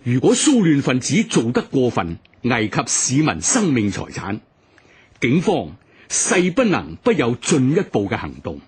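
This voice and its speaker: A man's voice: mid-range voice, wildly swooping pitch, unhurried, a little hiss or hum. A man playing a stern colonial government official with a Hong Kong Cantonese accent, solemnly proclaiming a warning to the public in a radio documentary.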